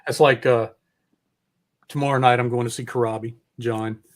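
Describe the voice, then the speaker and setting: A man's voice: mid-range voice, steady tone, normal speed. Folksy, rambling man. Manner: folksy, laid-back, profane. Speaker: podcast host. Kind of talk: casual rambling chat.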